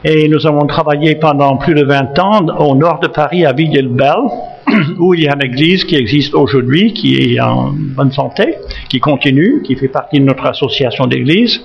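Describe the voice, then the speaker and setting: An older American man with a gravelly, slightly raised voice gives a halting, joking talk in church, full of pauses and restarts. He is a retired missionary pastor with an English-speaking American accent. The recording sounds dull and lo-fi. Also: a little high. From United States, Massachusetts. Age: 70s. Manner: halting, frequent fillers and restarts, gently joking. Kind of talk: church talk introducing himself.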